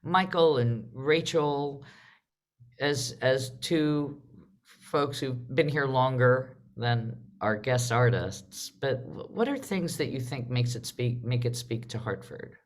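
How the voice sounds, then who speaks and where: A woman's voice: extremely low pitch, slow. A woman hosting a live online panel, talking conversationally and often interrupting herself as she goes. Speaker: host and artistic director leading a panel. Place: video call.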